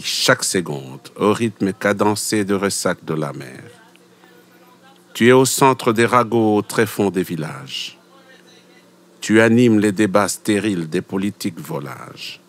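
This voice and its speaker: Guy with a really low voice reading his poem super slow, grave and solemn. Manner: grave, deliberate, declamatory, with repeated short phrases. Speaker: poet reciting own poem. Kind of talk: solemn spoken-word poetry recitation.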